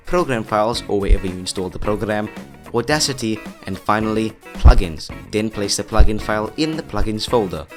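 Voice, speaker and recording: Chatty man hosting a tutorial over music. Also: lowish voice. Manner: chatty, addresses viewers as guys. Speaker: host of an effects tutorial channel. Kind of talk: upbeat scripted tutorial narration. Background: background music.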